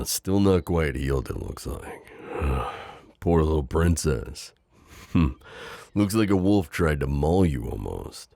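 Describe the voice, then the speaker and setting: An extremely low, crackly-voiced knight, acted by a man, heard close and dry. He speaks in a courtly, tender way for a romantic audio roleplay, with lively swings in tone and a teasing, overprotective temper. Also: vocal fry, no room sound, full character voice. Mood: tender, concerned. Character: loyal, teasing, overprotective male knight hiding a princess. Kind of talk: improvised romantic audio roleplay, addressing the listener.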